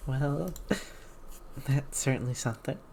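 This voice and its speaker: A soft-spoken, giggly woman doing an unhurried ASMR game playthrough and reading aloud. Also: very deep voice, slight background noise. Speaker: streamer playing a game.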